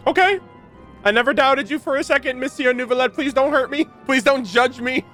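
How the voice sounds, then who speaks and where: A man's voice: extremely high pitch, fairly quick, pushed and bright, faint music behind. A man giving casual, slangy reaction commentary on video game voice lines, with joking asides. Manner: informal, slangy, jokey asides. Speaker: gaming reaction video host.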